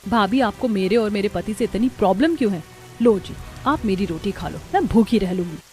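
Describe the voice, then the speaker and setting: A woman's voice: medium pitch, lively intonation, a little fast, a little mellow, soft background music, close to an everyday voice. A woman voicing a selfish, sulky grown daughter in a cartoon who talks in an accusing way.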